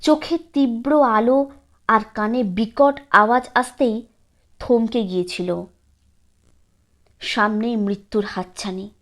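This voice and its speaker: Slow, high-voiced woman storyteller. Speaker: story narrator. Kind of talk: scripted literary audio story narration.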